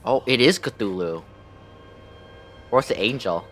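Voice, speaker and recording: A man doing casual, profane, jokey livestream commentary while playing a game, thinking out loud. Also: medium pitch, expressive, unhurried, soft background music.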